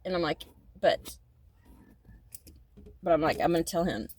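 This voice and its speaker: Rambling woman in her fifties, low-pitched. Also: expressive, unhurried, darkish tone. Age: 50s. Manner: rambling, repeats herself, restarts sentences; emphatic and indignant at times. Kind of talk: casual spoken monologue.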